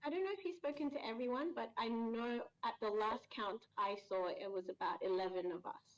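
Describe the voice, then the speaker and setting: A woman talking as a guest in a casual interview, chatty and quick to laugh. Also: fairly high pitch, lo-fi sound, a little hiss or hum. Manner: chatty, laughs often, lively. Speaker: guest voice actor.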